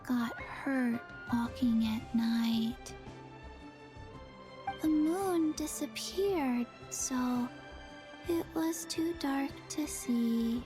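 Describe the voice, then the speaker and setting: A small, high zombie girl from a video game. She speaks extremely slowly in soft, deadpan fragments, sleepy and forgetful, with long gaps between phrases. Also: music in the background. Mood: flat, hesitant. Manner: deadpan, halting, short phrases with long pauses. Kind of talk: animated video game voice acting.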